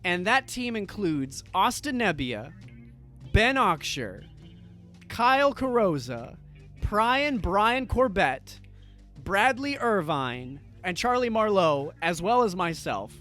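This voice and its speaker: A man's voice: high-pitched, sing-song, slow pace, bright, forceful tone, faint music behind. A man hosting a podcast, talking to introduce a segment, recorded close on the microphone. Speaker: podcast host.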